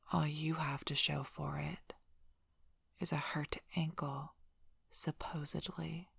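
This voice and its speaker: ASMR roleplay role: protective alpha werewolf female, woman performer, husky and unhurried, quietly threatening, close dry mic. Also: low voice, no echo, full character voice. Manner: slow, menacing, suspicious, often breathy whispering.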